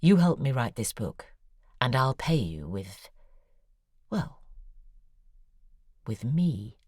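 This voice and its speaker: A woman's voice: extremely low pitch, very animated, slow pace, a little mellow, exaggerated voice. An actress playing a worldly, sardonic woman novelist, talking in an intimate, confiding and drily ironic way. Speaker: novelist writing letters. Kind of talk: audio drama monologue.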